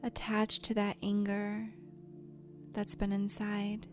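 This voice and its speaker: A woman leading a guided imagery meditation in a soft, soothing, gentle voice that turns breathy at times, with unhurried pauses. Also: medium pitch, completely flat delivery. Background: soft background music.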